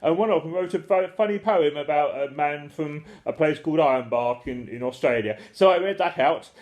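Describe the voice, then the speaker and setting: A man's voice: a little high, brisk, darkish tone. A man chatting casually and comically, with a jokey, self-deprecating and slightly rambling, hesitant manner.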